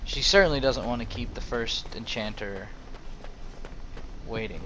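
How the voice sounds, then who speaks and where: A man improvising role-play narration over a video game, phrasing things in a halting, deliberate way. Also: medium pitch, background noise.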